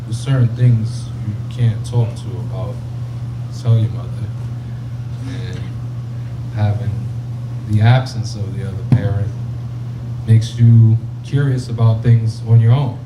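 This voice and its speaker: A man speaking slowly and haltingly on a panel about his childhood. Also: lowish voice, fairly even intonation. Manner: slow, halting, soft-spoken. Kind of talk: personal testimony. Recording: dull echoey room with loud hum.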